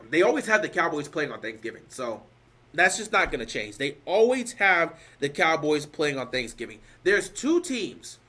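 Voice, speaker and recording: A man hosts a casual football talk stream, pressing his points with emphatic repetition. His voice is bright and forward, though he sounds worn out and is losing it. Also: on the high side. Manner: emphatic, repeats points, rising to loud stresses. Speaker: sports show host. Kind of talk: casual sports talk, answering live chat.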